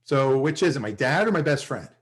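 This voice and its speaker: A man's voice: medium pitch, animated, a little fast, natural voice. An actor playing a self-important, sarcastic male salesman in a sitcom table read, recorded on a video call. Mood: dry, sarcastic. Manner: sarcastic, wheedling, hesitant.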